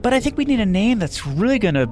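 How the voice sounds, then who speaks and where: A man's voice: high-pitched, very animated, fast talker, noisy background. A man playing an earnest, smugly oblivious sci-fi screenwriter in a comedy sketch, hesitant and repetitive. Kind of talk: comedy sketch dialogue, pitch meeting.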